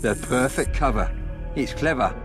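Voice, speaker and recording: Man acting learned conspirator, game cutscene, medium pitch, dry delivery, music behind. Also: moderate pace, natural voice. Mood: wry, conversational.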